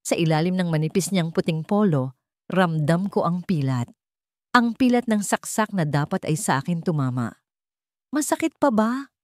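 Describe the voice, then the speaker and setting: A woman's voice: on the low side, animated, moderate pace, darkish tone, dry recording, clean studio sound. A woman audiobook narrator reading a dramatic first-person story in a solemn, emotive manner.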